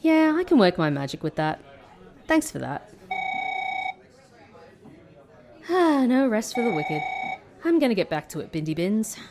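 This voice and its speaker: A woman playing a composed, wry former agent working in a call centre in an expressive audio drama. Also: on the high side, normal speed, roomy sound. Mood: wry, exasperated. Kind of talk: audio drama narration and dialogue.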